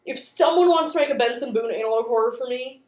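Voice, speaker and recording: Girl vlogger, kinda high voice, roomy echo, chatty and a bit dramatic with her opinions. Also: fast talker, a little mellow. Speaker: host of a channel on internet horror. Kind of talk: casual video commentary.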